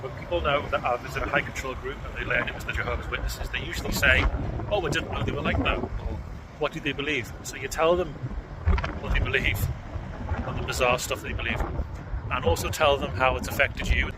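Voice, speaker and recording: Man, from the UK, mid-pitched, conversational, halting restarts, loud outdoor noise behind. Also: fairly even intonation. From United Kingdom. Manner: conversational, with frequent ums and restarts. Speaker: psychologist giving advice. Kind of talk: casual advice monologue.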